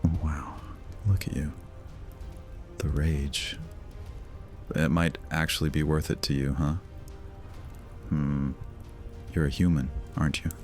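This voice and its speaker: A man's voice: a bass voice, level tone, slow, long pauses, darkish tone, soft background music. An actor playing a menacing, mocking male vampire lord who speaks with a sneering, threatening manner. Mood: taunting. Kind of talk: audio drama roleplay.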